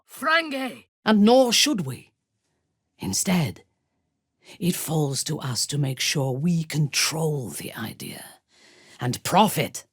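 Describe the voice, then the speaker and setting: An actress playing a haughty, ruthless female drow elf in a fantasy video game, speaking in a low, husky, measured and coldly menacing voice. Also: no room sound, studio quality, heavily acted. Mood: spell chanting. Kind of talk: scripted fantasy game voice acting.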